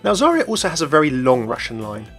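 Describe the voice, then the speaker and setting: A man's voice: on the high side, wildly swooping pitch, fast talker, fairly bright tone. A man hosting a gaming channel, delivering scripted news commentary. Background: music.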